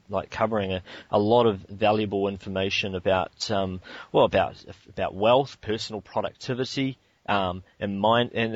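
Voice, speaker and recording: A man's voice: on the low side, no echo, highs cut off. A man with a New Zealand accent hosting a teleconference interview, talking hesitantly with many ums and restarts. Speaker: host.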